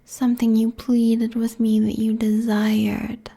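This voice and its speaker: Woman as a slow, whispering seductive demon queen. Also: a little high, monotone, mellow, full character voice. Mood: haughty, seductive. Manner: breathy, slow, condescending whispering. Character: seductive, cruel, haughty succubus demon queen. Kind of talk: intimate ASMR roleplay monologue. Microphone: close binaural microphone.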